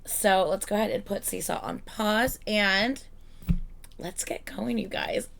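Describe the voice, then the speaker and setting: A woman chatting excitedly and casually as host of a reaction video, her voice slightly hoarse and congested, swearing freely. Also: mid-range voice, unhurried. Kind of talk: casual reaction vlog chat.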